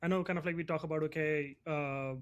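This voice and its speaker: A man with a voice a bit higher than most hosts a technology podcast. He speaks conversationally, framing questions for the person he is interviewing. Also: steady tone, quick pace, dull audio, a little hiss or hum.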